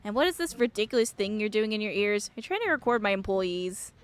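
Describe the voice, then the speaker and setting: A woman's voice: medium pitch, expressive, fast, clear, resonant tone, close to an everyday voice. A woman voicing an irritable, scornful female boss of a mine in a video game, scolding and dismissive. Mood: irritated, scornful.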